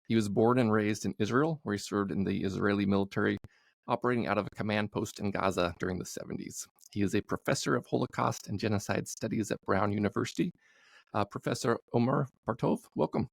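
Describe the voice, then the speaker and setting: Gravelly low-voiced podcast host. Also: male voice, no echo. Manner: casual, halting questions with fillers. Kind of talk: podcast interview. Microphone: studio mic with headphones.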